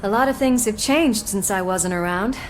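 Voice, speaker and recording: A woman's voice: medium pitch, normal speed, slight background noise, lightly acted. A woman acting a supportive, teasing police partner in a video game, informal and wry. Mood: wry, caring.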